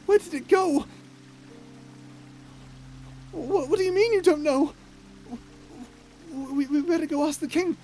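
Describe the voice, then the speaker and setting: A performer voicing a shy, gentle and curious octopus traveller in an ASMR story roleplay, timid and stammering. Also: high voice, unhurried, long pauses, soft background music. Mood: panicked.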